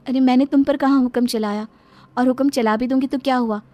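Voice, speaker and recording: A woman's voice: high-pitched, fairly even intonation, a little fast, mellow, a little hiss or hum, voice pushed up, natural voice. A woman voicing a sweet, naive young village daughter-in-law in a cartoon story, speaking politely and earnestly. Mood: mildly protesting.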